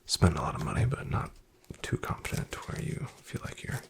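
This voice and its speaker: A half-whispered, heavily creaky voice from a man in an ASMR roleplay as a smooth, shady illegal card dealer. He takes his time, drawling slowly with wry, faintly menacing asides. His soft delivery still swings noticeably in pitch. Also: very animated. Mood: sly chiding. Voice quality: whispery, breathy, gravelly. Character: shady, smooth back-alley illegal casino dealer, male, wryly menacing.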